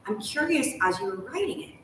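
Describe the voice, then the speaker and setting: A woman's voice: a little high, lively intonation, a little fast, a bit bright. An American woman hosting a casual live interview, speaking enthusiastically and wordily as she thinks aloud. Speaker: host, interviewer. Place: large hotel sitting room, live stream. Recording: very echoey, distant, background noise.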